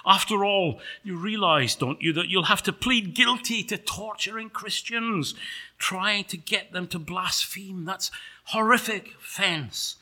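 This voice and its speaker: A man's voice: high voice, a little slow, bright, forceful tone, voice pushed up, heavily acted. A man voicing an eager, flustered angel who pleads like a starstruck defense counsel in a sermon sketch. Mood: grave, pressing.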